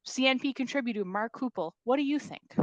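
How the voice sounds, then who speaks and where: A woman's voice: on the high side, brisk, no room sound, dull audio, voice pushed up, natural voice. A woman playing an upbeat, polished television news anchor in a comedy table read, with a presentational delivery. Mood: upbeat, formal. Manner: presentational broadcast reading.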